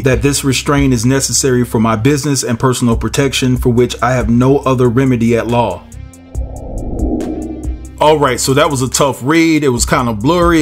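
A man hosting a commentary channel, nasal from a head cold, reading a court filing aloud and then giving his casual opinion to viewers. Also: mid-range voice. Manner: casual, addresses viewers as y'all and guys.

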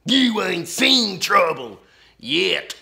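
A man's voice: sits high, wildly swooping pitch, creaky, crowd noise, voice pushed up, heavily acted. A man voicing a fearsome male Wild West outlaw in a storybook reading, snarling and drawn out. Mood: menacing. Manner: slow, shouted, snarling delivery. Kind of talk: storybook read-aloud.

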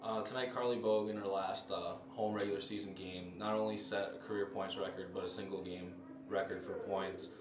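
A man's voice: low-pitched, fairly even intonation, brisk. A man interviewing athletes and a coach after a game, asking plain questions with fillers. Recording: roomy, distant, with background noise.

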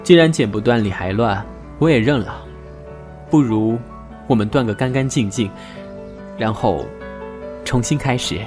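Male actor as reserved young lover, hurt but firm, measured and serious audio drama delivery, mid-pitched, music underneath. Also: darkish tone, close to an everyday voice. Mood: calm, decided. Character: reserved, wounded young man setting terms to a former lover. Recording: music behind the voice.

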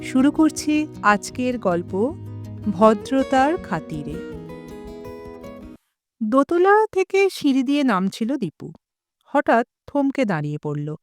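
A woman with a Bengali accent reading a story aloud in a slow, clear, expressive way. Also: high-pitched, faint music behind. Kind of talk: scripted story narration.

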